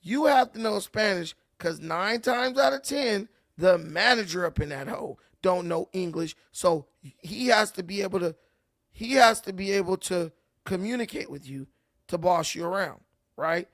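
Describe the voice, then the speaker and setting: A man's voice: high-pitched, animated, moderate pace, a bit bright, no echo, studio quality. A man hosting casual reaction commentary, ranting and repeating words as he builds his point. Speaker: commentary host.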